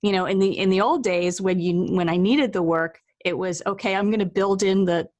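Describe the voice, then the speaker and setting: A woman with a medium-pitched voice speaks as an interview host. Her delivery is casual and conversational, like an informal chat between colleagues. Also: steady tone, fairly quick, darkish tone.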